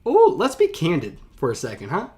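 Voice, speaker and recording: A man's voice: on the high side, huge pitch swings, a little fast, mellow. A man speaking as a teacher in casual reaction commentary, explaining things conversationally and stressing key words.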